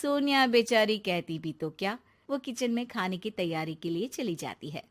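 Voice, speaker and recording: A woman's voice: mid-range voice, lively intonation, normal speed. A woman narrator telling a scripted cartoon story.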